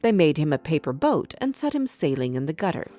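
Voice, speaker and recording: Lively, low-pitched woman storyteller. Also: a little fast. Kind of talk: scripted fairy tale narration for children. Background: background music.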